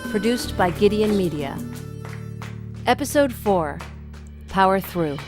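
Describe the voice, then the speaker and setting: A woman's voice: medium pitch, lively intonation, slow. A woman announcer reading scripted title credits for an audio drama. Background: loud music.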